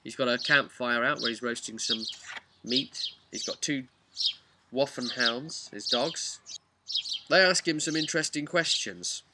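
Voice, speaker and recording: A man's voice: medium pitch, measured pace, heavy background noise. A man speaking informally as a game master, recounting a tabletop campaign with emphatic asides. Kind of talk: vlog storytelling, informal explanation.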